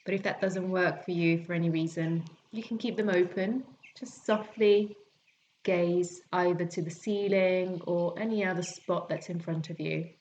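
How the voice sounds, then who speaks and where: Low-pitched woman guiding relaxation over forest birdsong. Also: highs cut off, a little hiss or hum. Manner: gentle, soothing, unhurried instruction with long pauses. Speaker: yoga nidra teacher. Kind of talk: guided relaxation meditation. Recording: birdsong and forest sounds behind voice. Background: calming forest nature sounds with birds.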